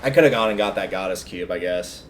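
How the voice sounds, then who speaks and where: A man's voice: low-pitched, fast, a little hiss or hum. A man giving casual livestream commentary while playing a video game, rambling a bit as he thinks aloud. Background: video game music.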